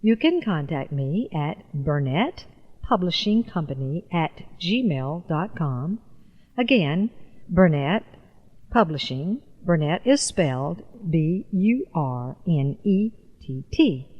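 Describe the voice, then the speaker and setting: A woman's voice: deep voice, very animated, slow, husky, lo-fi sound. A woman voice-over artist reading scripted narration as an audiobook and demo narrator.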